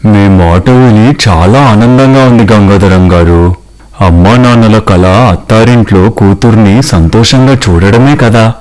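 A man's voice: lowish voice, a little slow, a little mellow, natural voice. A man voicing a polite, principled man from a poor family in a cartoon story, respectful and sincere. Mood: gentle, earnest.

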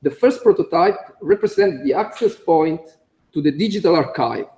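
Italian-accented man, engineer at a podium, slightly high and mellow, halting delivery read off notes. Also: expressive. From Italy. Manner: careful, halting, with frequent "uh" fillers and small slips. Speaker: conference speaker, computer engineer. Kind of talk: conference talk read from notes. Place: conference room.

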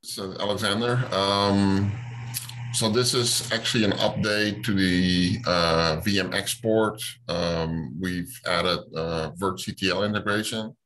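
A man's voice: sits low, steady tone, slow, fairly bright tone, slight background noise, low-quality recording. A man whose first language is Dutch, speaking casually on a video call, hesitant with fillers. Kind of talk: casual meeting chat.

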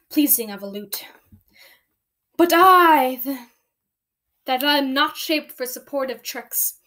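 Girl doing bitter scheming shakespeare villain, high voice, slow dramatic declaiming, super emphatic. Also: a bit bright. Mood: sardonic, grandiose. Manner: declamatory, emphatic, stumbles over some words. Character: bitter, deformed, scheming nobleman villain, theatrically brooding. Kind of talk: dramatic Shakespearean monologue recital.

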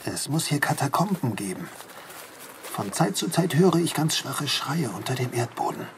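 A man's voice: medium pitch, sing-song, normal speed. An actor playing an uneasy workingman in a horror audio drama, speaking in a tense, dramatic way. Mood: hushed, uneasy. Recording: noticeable background noise.

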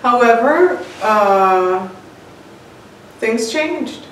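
A woman's voice: a little high, lively intonation, drawn-out words, a bit bright. Reflective older woman in a very echoey room. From United States, born in Cuba. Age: 60s. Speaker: interviewed home cook and author. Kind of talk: personal storytelling in an interview. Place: indoors, bright home room with large windows. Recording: very echoey room, distant mic, faint noise.

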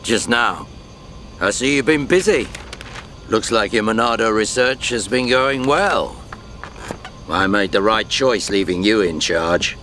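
A shrewd, easygoing older comrade from a fantasy game. His voice sits fairly low and moves expressively, with a casual, knowing manner. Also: expressive, measured pace, fairly bright tone, a little hiss or hum. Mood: jovial. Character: easygoing, shrewd middle-aged adventurer mentor. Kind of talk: video game cutscene acting.

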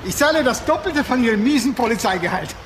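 An actor playing a powerful, smug arms dealer in a crime radio drama, condescending and self-assured. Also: male voice, very high voice, lively intonation, quick pace. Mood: smug, mocking. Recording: clear background outdoor and vehicle noise.

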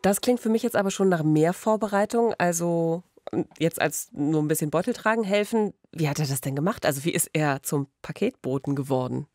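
A woman's voice: sits low, lively intonation, brisk, darkish tone, dry recording. A young adult woman co-hosting a podcast with conversational questions and comments, close on a studio mic. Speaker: podcast co-host. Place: small radio studio. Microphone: close studio boom mic with pop filter.

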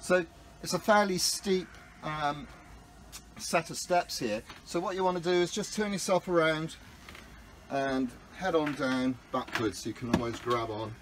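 A man's voice: fairly high pitch, unhurried, slight background noise. A man in his fifties, a sailing skipper, casually walking through a small yacht and explaining each step in a practical, friendly way, filmed on a handheld phone. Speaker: sailing school skipper giving a boat tour. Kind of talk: friendly walkthrough demonstration. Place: on and inside a small sailing yacht, outdoors then in the cabin. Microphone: handheld phone camera.

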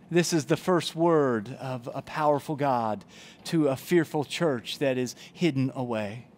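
A man in his forties, a pastor, preaching an earnest sermon in a church chapel on a headset mic. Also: a little high. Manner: earnest, gestures broadly while preaching. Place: church chapel, indoors, before brick wall.

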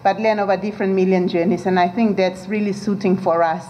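Mellow-voiced woman from Papua New Guinea. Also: medium pitch, fairly even intonation, a little hiss or hum. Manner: thoughtful, pausing with fillers. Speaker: interviewee. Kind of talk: casual interview remarks.

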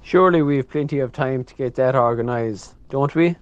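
A man's voice: medium pitch, moderate pace, no echo, lo-fi sound, lightly acted. A man with an Irish accent playing an easygoing parish committee member in an amateur radio drama.

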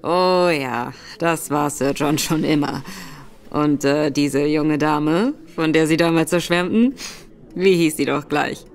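A woman voicing a sly, affable gentleman in disguise who probes with feigned forgetfulness, in a radio play. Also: sits low, takes it slowly, voice pushed down. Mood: probing, sly. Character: smooth gentleman Virgil Barker, a man, sly and probing.

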